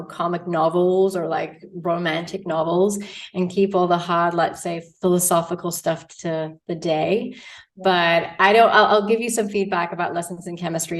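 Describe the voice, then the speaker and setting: A woman from Australia, a guest dietitian in casual interview chat, bubbly and chatty with frequent laughs. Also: on the low side.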